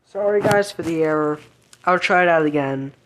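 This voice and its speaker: A woman's voice: deep voice, moderate pace, very noisy. A woman narrating an amateur scripted drama.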